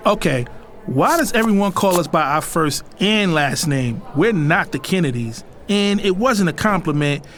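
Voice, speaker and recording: A man's voice: sits high, animated, normal speed, a bit bright. A man playing a sarcastic, teasing rich young man in an audio drama, playfully blunt.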